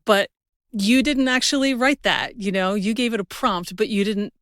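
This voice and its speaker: A woman's voice: mid-range voice, moderate pace, fairly bright tone, no room sound, studio quality. A woman hosting a podcast, talking in a casual, conversational interview style. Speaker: podcast host.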